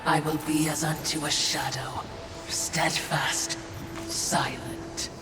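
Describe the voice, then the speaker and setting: An actor playing an obsequious demonic servant in a video game, flowery, unctuous and eager to please. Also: male voice, on the high side, steady tone, takes it slowly. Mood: fawning. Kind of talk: dramatic video game voice acting. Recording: echoing voice under loud music.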